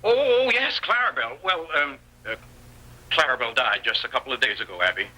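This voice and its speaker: An actor playing a scheming, menacing bandit with American rustic talk in an old-time radio Western. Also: male voice, medium pitch, lively intonation, a little fast, bright, forceful tone. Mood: falsely friendly. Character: scheming male bandit, menacing, sneering, posing as brother. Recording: vintage radio recording, tinny.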